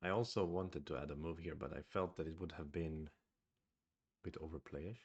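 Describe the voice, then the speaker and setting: A man's voice: very deep voice, fairly even intonation, moderate pace, darkish tone, lo-fi sound. A man with a Swedish accent streams his go game, thinking aloud in a hesitant, musing way. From Sweden. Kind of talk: live-streamed game commentary.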